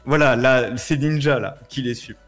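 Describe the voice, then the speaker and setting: A man's voice: mid-range voice, normal speed, fairly bright tone, soft background music. A man explaining things informally in casual chat, using slangy wording.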